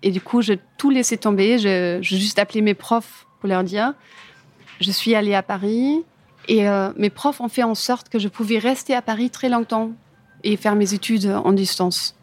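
A woman's voice: mid-range voice, normal speed, a little mellow. German-accented woman, hesitant conversational delivery. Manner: hesitant, searching for words in imperfect French. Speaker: interview guest, furniture designer. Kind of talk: casual interview answers about own career.

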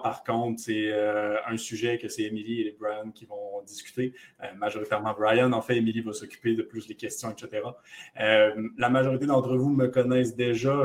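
A man's voice: mid-range voice, a little mellow. A man hosting a webinar, who opens it casually and rambles with many fillers and restarts. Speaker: webinar host. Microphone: video call.

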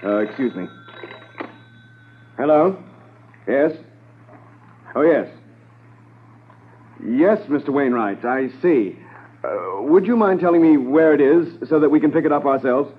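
An actor playing an authoritative police inspector, measured and commanding, in 1950s radio drama style. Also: male voice, mid-range voice, animated, slightly rough. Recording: noisy, narrow-band 1950s radio transcription.

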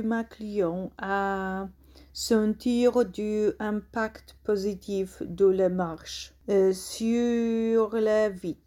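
A woman whose first language is Polish speaks French slowly and carefully, with a Polish accent. She hesitates often and searches for words as she answers questions in an interview. Her pitch sits in an ordinary middle range. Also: dark tone. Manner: slow, halting, many hesitations and searched-for words. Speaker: guest, walking language course founder.

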